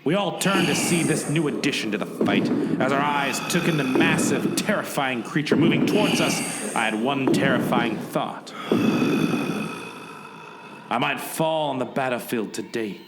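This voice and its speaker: A man's voice: medium pitch, lively intonation, normal speed, very noisy, lightly acted. A man acting as the plucky narrator of his own mock-heroic mission in a radio play, with a theatrical, dramatic delivery. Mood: grave dread. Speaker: narrator and lead actor. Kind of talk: first-person radio play narration with acted dialogue. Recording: music behind the voice. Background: music.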